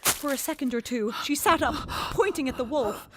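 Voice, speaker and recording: A woman narrating a gothic novel in the first person, expressive and dramatic, dropping to whispers in the hushed passages. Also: sits high, normal speed, background noise.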